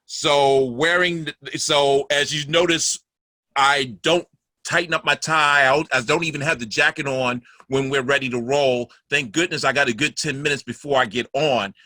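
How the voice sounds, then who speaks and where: A man in a casual video-call chat from home, explaining things in a deliberate, halting way. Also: medium pitch, sharp tone, no room sound. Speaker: actor and rideshare driver.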